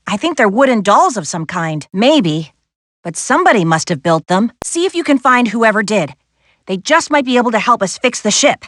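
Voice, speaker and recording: Spirited, high-voiced young American adventurer. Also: female voice, sing-song, moderate pace, fairly bright tone, no echo, clean studio sound. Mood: dry, sardonic. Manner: wry, sassy, instructive. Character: spirited, capable young woman adventurer, wry and encouraging. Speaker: video game character voice actress. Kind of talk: animated video game voice lines.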